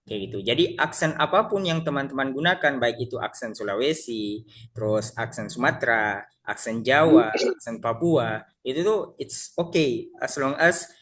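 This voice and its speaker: A man's voice: medium pitch, normal speed, a bit bright, a little hiss or hum. A man teaching a casual class, talking to his listeners as friends and often stopping to restart his sentences. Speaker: IELTS teacher. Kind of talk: casual online class lecture.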